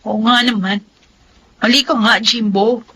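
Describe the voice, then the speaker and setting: An actress playing an accusing young Filipino sister in a radio melodrama. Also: female voice, a little high, steady tone, a little slow, sharp tone, breathy edge, exaggerated voice, rough recording. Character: scolding young sister siding with her sibling.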